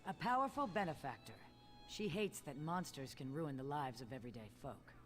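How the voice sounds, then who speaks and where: An actor, a woman, playing an earnest, newly appointed guildmaster in a fantasy game, speaking in a measured, formal way. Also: sits low, expressive. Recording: game music underneath.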